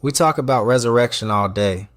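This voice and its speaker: A man's voice: mid-range voice, fairly quick, fairly bright tone, no room sound, close to an everyday voice. A man playing a commanding restaurant boss in an audio drama, with a clipped, dryly funny delivery. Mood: dry, wry. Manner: commanding, clipped, dry humour. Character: brisk, wry male restaurant boss running a tight ship.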